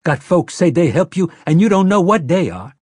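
A man voicing a harsh, crude, fierce woman who speaks in a rough dialect and brooks no argument. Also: on the low side, sing-song, normal speed, a little mellow, no echo, clean studio sound, pitched above the performer's own voice. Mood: menacing.

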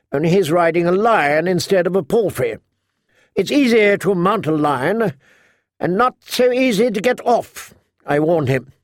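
Man voicing a sharp-tongued, bossy old noblewoman, lifted pitch. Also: dry recording, clean studio sound. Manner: imperious, blunt, talkative. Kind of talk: audiobook character acting.

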